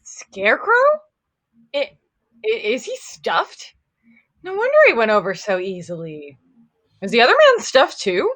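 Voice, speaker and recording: A big cowardly lion, played by a woman, high and hugely animated in pitch. The beast whimpers and drags out words in sheepish self-pity, as in an audio drama read. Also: heavily acted. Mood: timid curiosity. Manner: whimpering, wailing, drawn-out delivery.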